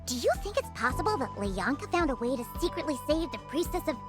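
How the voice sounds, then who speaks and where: A woman voicing a tiny, excitable floating companion creature with a squeaky, whiny, childlike way of talking. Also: fast. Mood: curious. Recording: game soundtrack music behind.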